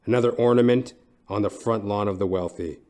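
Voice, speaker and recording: A man as a low, deadpan private eye. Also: natural voice. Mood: world-weary. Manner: deadpan, measured, dramatic pauses. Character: down-at-heel, wry male hardboiled private eye narrator. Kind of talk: scripted noir detective narration, comic.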